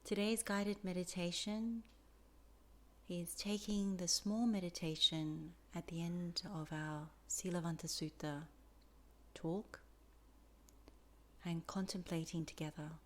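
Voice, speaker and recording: A woman teaching a guided meditation in soft, deliberate phrases with many pauses and a breathy fall at phrase ends. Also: fairly low pitch, steady tone.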